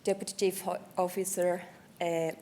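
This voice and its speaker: Event host, woman. Mellow, warm tone, formal delivery with small slips. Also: lowish voice, level tone, moderate pace, slight background noise.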